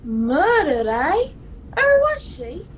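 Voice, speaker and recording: Woman as nosy passenger: high, very animated, slangy exclamations, radio play, faint noise. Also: drawn-out words, full character voice. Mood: nosy, astonished. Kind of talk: old-time radio play acting. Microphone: video call. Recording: faintly noisy video call audio.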